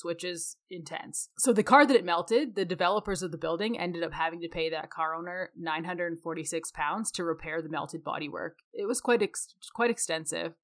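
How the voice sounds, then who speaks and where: A woman's voice: fairly low pitch, brisk. A woman co-hosting a conversational podcast, speaking in an opinionated, emphatic way. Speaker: podcast co-host.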